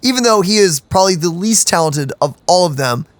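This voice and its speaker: A woman co-hosting a let's-play, talking excitedly and gushing about the game. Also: fairly low pitch, fairly quick, no room sound. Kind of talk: casual gaming commentary.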